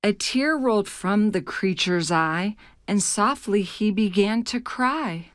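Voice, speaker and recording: A woman narrating a rhyming children's picture book as a read-aloud host, with a measured, expressive storytelling delivery. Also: mid-range voice, no room sound, studio quality.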